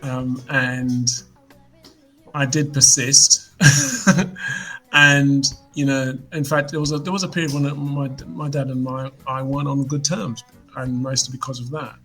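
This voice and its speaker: Man, fifties, slightly husky voice, mid pitch, reflective and halting, podcast interview, faint music underneath. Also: fairly bright tone, rough recording. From United Kingdom. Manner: reflective storyteller, hesitant restarts. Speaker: musician guest. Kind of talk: casual interview chat.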